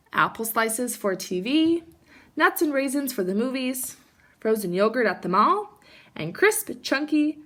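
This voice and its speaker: Woman, children's read-aloud teacher, animated intonation, bright tone, emphatic, speaks straight to kids. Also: high-pitched. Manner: animated, emphatic, addressing young children directly. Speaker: teacher reading a picture book to children. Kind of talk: expressive children's storybook read-aloud with asides.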